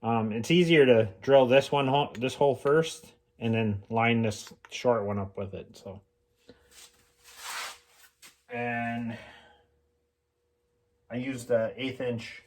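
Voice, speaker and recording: A man explaining homemade tools in a casual, unscripted how-to style, informal and halting as he thinks aloud. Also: mid-range voice, darkish tone, a little hiss or hum. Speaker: hobbyist mechanic presenting a homemade tool demonstration.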